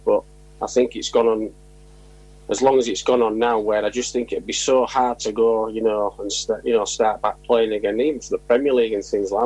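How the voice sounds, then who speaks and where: A man's voice: mid-range voice, a little mellow, slightly rough, rough recording. A man giving blunt, conversational opinions as a football coach in a casual interview.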